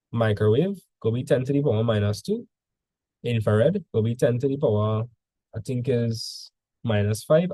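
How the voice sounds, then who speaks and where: A man's voice: medium pitch, mellow, dry recording. A male teacher tutoring students in a casual session, full of "like" fillers, quizzing them with questions as he goes.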